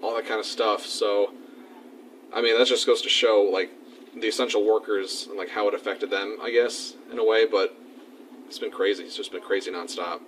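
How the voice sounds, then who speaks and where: A man of twenty-two, a student, reading scripted narration in a measured way and answering questions. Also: fairly low pitch, fairly even intonation, a bit bright, a little hiss or hum, poor audio.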